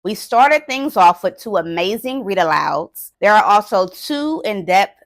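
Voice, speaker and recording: A woman hosting an educational talk as an experienced teacher, speaking in an expressive, emphatic way. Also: medium pitch, unhurried, dry recording. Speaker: host and experienced early-years educator.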